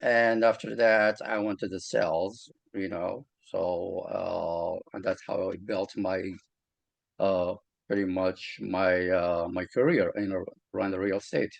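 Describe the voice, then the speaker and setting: A man from Morocco, with a Moroccan accent and Arabic as his first language, talks about his career as a real estate agent in a halting way, with many fillers and restarts. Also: low-pitched, steady tone, gravelly, no room sound. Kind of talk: casual interview talk.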